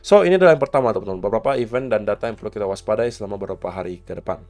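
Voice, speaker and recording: A man with a hoarse, coughing voice, casually walking viewers through upcoming market data and events, mixing in English market terms. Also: fairly low pitch, racing, a little mellow, soft background music. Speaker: crypto market commentator.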